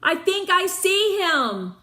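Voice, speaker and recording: Woman doing an eager, high-pitched girl calling out. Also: sing-song, slow, heavily acted. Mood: urgent calling. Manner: loud, projected calling. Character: eager, proud young girl calling down a well.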